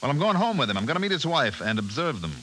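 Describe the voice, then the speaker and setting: An actor playing a casual, probing newspaper reporter who coaxes and humors people, in 1950s radio drama style. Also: male voice, a little high, sing-song, very fast, fairly bright tone, rough recording. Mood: assured. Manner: casual, coaxing, questioning. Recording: hissy 1950s radio recording.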